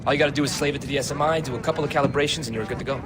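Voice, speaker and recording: An actor playing an eager, chatty young tech whiz in a game cutscene, casual and slangy. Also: male voice, mid-range voice, very fast, noisy background, natural voice. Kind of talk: dramatic game cutscene acting.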